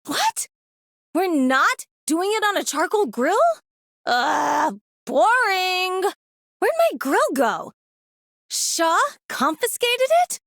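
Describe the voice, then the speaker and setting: An excitable young video game girl, with a very high voice that leaps around wildly. She is fiery and exclamatory, yet takes her time over words in a dry, close recording. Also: takes it slowly, no echo, exaggerated voice. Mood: indignant. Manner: exclamatory, bouncy, easily bored. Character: excitable, hot-blooded young girl who loves fire and barbecue. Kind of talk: video game character voice lines.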